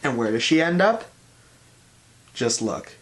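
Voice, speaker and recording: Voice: medium pitch, very animated, a little slow, background noise. A reviewer giving blunt, opinionated scripted commentary with a sardonic manner. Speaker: reviewer, host of an online review show.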